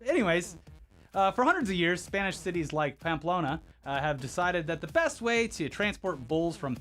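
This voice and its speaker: Lively guy cohosting comedy news, kinda high voice, sarcastic, faint music behind. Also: moderate pace. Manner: animated, laughs often, sarcastic. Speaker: co-host. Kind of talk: scripted comedy news banter.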